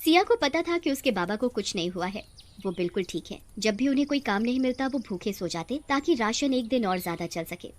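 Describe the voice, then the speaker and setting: A woman narrating a scripted moral story as a storyteller. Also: mid-range voice.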